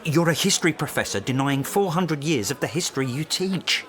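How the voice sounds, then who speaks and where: An actor playing a stern, measured university boss in a radio drama, reproaching a colleague in a deliberate, admonishing way. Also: male voice, a little high, animated, fairly bright tone. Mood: reproachful. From Essex, England. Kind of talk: naturalistic radio drama acting.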